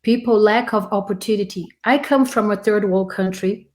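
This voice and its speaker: Woman from brazil and italy, mid pitch, earnest and a little halting in an interview. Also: a little mellow. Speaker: interview participant. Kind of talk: conversational interview remark.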